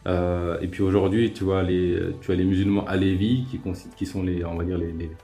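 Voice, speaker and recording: A man hosting a calm live-stream debate, reasoning aloud in a hesitant way with many fillers and restarts. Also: low-pitched, a little fast, soft background music. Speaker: host. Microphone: remote live-stream call.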